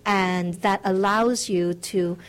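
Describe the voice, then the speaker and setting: A woman's voice: medium pitch, fairly even intonation, takes it slowly. A middle-aged woman from the United States, a guest author, answering interview questions in an earnest, reflective way with light laughs.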